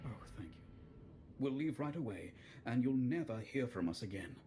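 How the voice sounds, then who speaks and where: Man acting as desperate game father, medium-pitched, highly animated, dramatic, earnest, slight noise behind. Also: normal speed, lightly acted. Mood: pleading, relieved. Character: defiant, pleading father who fled Sith academy.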